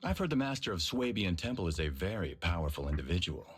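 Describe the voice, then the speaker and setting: A man's voice: deep voice, sing-song, fast talker, soft background music. A man playing a proud, grumbling older tiger-man in a video game dub, with a dry, skeptical manner.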